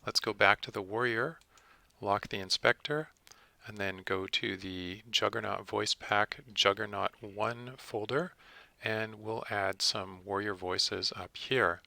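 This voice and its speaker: A man presenting a software tutorial, explaining each step methodically in a close-miked voice-over. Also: on the low side, fairly even intonation, slow, vocal fry, no echo. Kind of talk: step-by-step screen tutorial narration.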